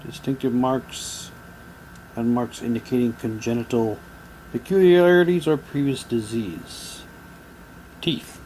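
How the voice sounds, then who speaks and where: A man's voice: mid-range voice, slow pace, long pauses, a little mellow, low-quality recording. A man in a casual unboxing commentary, reading documents aloud and musing to himself as he goes. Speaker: reviewer showing mail-order radio drama props. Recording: clear background noise, room ambience.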